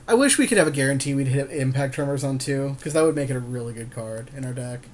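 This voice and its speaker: A man's voice: medium pitch, quick pace, a little mellow, a little hiss or hum. A man co-hosting a gaming podcast, chatting casually with a friend in a rambling, slangy way with some swearing. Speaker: podcast co-host.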